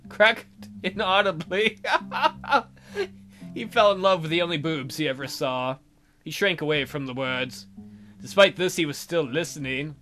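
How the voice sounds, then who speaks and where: A man's voice: a little high, pushed and bright. A man narrating a let's play of a visual novel, reading aloud with playful, irreverent asides. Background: faint guitar music.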